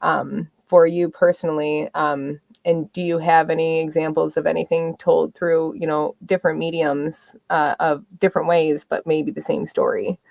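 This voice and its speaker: A woman's voice: deep voice, little pitch movement, moderate pace, gravelly, few pauses, a little mellow, dry recording. A woman, interviewing in a casual, informal way as a podcast host.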